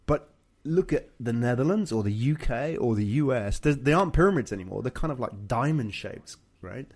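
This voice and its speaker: A man's voice: mid-range voice, animated, normal speed, a little mellow. British man explaining in conversational style. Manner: explanatory, punctuating points with check questions. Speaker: show host. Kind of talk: conversational discussion of personal finance.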